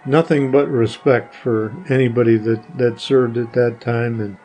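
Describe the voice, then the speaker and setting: An older man recalls things in an interview with a dark, soft-edged tone and a mid-range pitch. He is plain-spoken and halting, with faint music behind him. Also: fairly even intonation, rough recording. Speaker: guest. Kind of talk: reminiscing in an interview.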